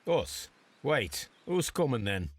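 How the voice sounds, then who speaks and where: An actor playing a shrewd detective in a video game, speaking in a measured, deliberate, precise way. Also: male voice, medium pitch, very animated, husky, exaggerated voice. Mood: guarded, bargaining. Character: shrewd detective posing undercover as a lockpick thief. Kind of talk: scripted video game acting.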